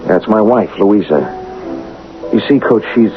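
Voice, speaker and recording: Earnest young athlete, lowish voice, swooping intonation, halting, pleading, radio drama. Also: male voice, animated. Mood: anguished. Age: young adult. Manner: hesitant, halting, deferential. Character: gentle young football player, earnest, guilt-stricken, pleading husband. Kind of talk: radio mystery drama acting. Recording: muffled old radio recording with music.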